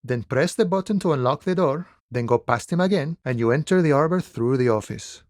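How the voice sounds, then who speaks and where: An actor playing an easygoing, wily striking dockworker in a video game, with folksy cowboy swagger and a theatrical, friendly drawl. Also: male voice, mid-range voice, expressive, fairly quick, a little mellow, no room sound, studio quality, natural voice. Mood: amused, sly. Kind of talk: video game character dialogue.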